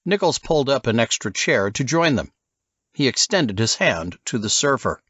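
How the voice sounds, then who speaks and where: A man's voice: medium pitch, very animated, normal speed, fairly bright tone, husky, dry recording, dull audio. A man reading scripted fiction as an audiobook narrator.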